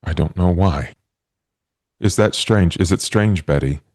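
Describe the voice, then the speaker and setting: A man plays a newly born man with a profoundly deep, soft-edged voice and a dry, close sound. He is childlike and literal, putting things in simple, halting, earnest phrases for a tabletop game. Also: dry recording. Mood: puzzled, earnest. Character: naive newborn man, gentle, childlike, literal-minded and puzzled. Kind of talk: in-character tabletop roleplay.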